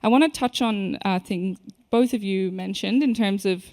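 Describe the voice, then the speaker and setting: A woman's voice: medium pitch, normal speed, mellow. A woman moderating a conference panel, speaking conversationally with frequent fillers like "you know" and "um". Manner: conversational, hedging with many fillers. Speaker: panel moderator from a consumer body.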